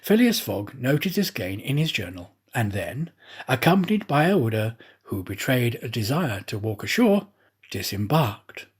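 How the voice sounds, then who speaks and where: A man narrates a classic novel in a theatrical, actorly way. His pitch moves around in a lively fashion, and his voice has a husky edge. The phrasing is measured and carefully scripted.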